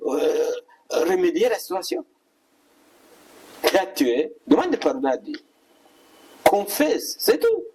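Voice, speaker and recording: A man's voice: high voice, a little mellow, husky, recorded from a distance. A male preacher, delivering an admonishing, repetitive exhortation as a sermon. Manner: admonishing, repetitive rhetorical questions.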